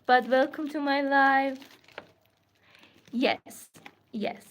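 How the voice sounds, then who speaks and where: A woman from Iran with a Persian accent, talking slowly in a fairly high voice. She hosts a crafting livestream from her studio, using simple, halting English and often repeating herself. Her speech sounds plainly non-native. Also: fairly even intonation, slight background noise. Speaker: artist hosting a crafting livestream. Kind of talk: casual livestream chat.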